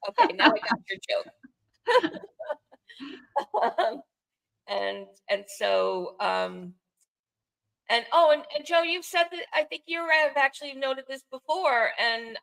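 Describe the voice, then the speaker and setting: Bright-voiced older woman, Bronx accent. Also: fairly high pitch, animated, unhurried, creaky, rough recording. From the Bronx, New York, United States. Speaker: sports podcast co-host. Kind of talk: casual unscripted baseball chat.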